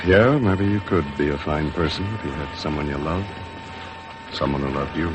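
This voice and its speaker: An American actor playing a disillusioned, stern Hollywood big shot in 1950s radio drama, with a sardonic way of talking. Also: male voice, a bass voice, moderate pace. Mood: bitter. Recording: noisy vintage 1950s radio recording.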